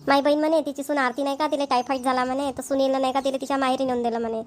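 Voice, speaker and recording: A woman's voice: high-pitched, flat delivery, rapid-fire, natural voice. A woman with a Vidarbha Marathi accent voicing a chatty, concerned middle-aged village woman in an amateur cartoon.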